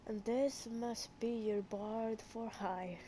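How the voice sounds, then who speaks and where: Chill gamer lady, kinda high voice, slow and musing, little noise behind. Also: fairly even intonation, dark tone. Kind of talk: casual game playthrough commentary, reading out dialogue choices.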